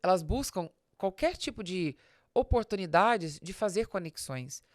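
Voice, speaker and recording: A woman's voice: lowish voice, animated, unhurried, dry recording, studio quality. A Brazilian woman hosting a podcast, giving informal business advice in a didactic, emphatic way, speaking straight to the listener. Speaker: podcast host and business strategist.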